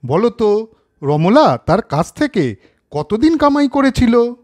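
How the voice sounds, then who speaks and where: A man's voice: very high-pitched, very animated, slow, darkish tone, no room sound, studio quality. A man narrating a moral cartoon story, speaking directly and in a friendly way to children.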